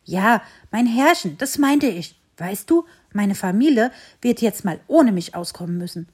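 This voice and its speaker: A woman voicing a big, cheeky male dog who is quick to take offence, in a children's story. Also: mid-range voice, breathy edge. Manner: excited, indignant exclamations.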